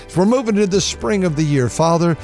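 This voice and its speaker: A man with a raspy voice preaching an impassioned sermon, stammering and restarting, then surging into shouted praise. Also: high voice, expressive, fairly quick, soft background music. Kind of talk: fervent radio sermon.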